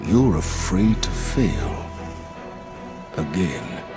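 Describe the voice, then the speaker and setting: A grave military commander. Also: male voice, sits low, sing-song, exaggerated voice. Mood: solemn. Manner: measured, grave and formal. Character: stern, guarded male military commander. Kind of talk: game cutscene acting. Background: music score.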